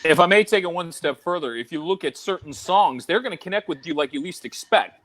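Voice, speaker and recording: High-voiced animated male podcaster. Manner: animated storyteller, quick to joke. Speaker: podcast co-host, DJ. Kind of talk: casual chat.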